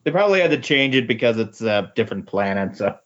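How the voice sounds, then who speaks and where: A man with a fairly low voice chats casually as a podcast host. He talks haltingly, often doubling up his words as he reacts. The sound is somewhat dull and lo-fi. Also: sing-song. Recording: dull, lo-fi voice recording.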